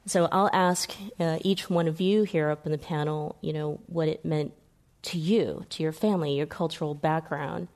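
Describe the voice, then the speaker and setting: A woman moderating a panel in a solemn, measured way. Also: sits low, steady tone, darkish tone.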